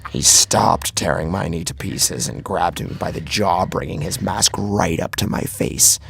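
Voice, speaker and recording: A man narrating a first-person horror story dramatically, with a grave, intense delivery and a husky, gravelly voice. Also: low voice, unhurried, fairly bright tone, no echo.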